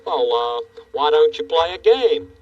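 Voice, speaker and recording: A man's voice: a little high, very animated, measured pace, darkish tone, distant mic, muffled recording. A man playing a friendly, eager, curious puppet neighbor on a children's show, full of folksy exclamations. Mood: concerned. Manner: folksy exclamations, boyish enthusiasm.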